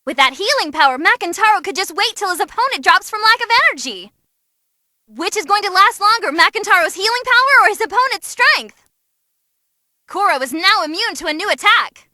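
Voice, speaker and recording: A woman voicing an excitable, sassy young female ring announcer in a game, calling fights with theatrical, wisecracking commentary. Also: extremely high pitch, brisk, no echo, clean studio sound, heavily acted. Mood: impressed, cheeky. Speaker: match announcer.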